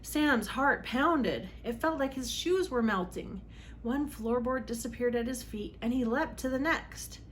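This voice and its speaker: A woman's voice: a little high, a little slow, a little hiss or hum. A woman reading a storybook aloud for children in a dramatic, emphatic way. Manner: dramatic, emphatic on exciting moments. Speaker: read-aloud host for children.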